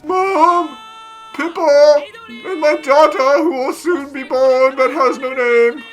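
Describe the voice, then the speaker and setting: An actor playing an emotional father who shouts his love to his family in an anime-style fan dub. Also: sits high, slow pace, faint music behind. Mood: tearful, loving.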